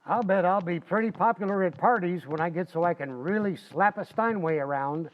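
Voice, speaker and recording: A man's voice: sits high, a little fast, highs cut off, close to an everyday voice. A man acting a boastful, folksy husband in an old-time radio comedy. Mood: cocky, cheerful. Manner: folksy, slangy, bragging. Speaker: amateur actor in a community playhouse radio recreation.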